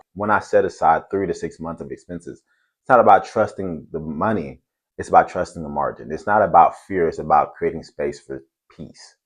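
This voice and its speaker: A man from Cameroon hosting a faith and finance channel, giving an earnest, steady talk to camera. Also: deep voice, breathy edge.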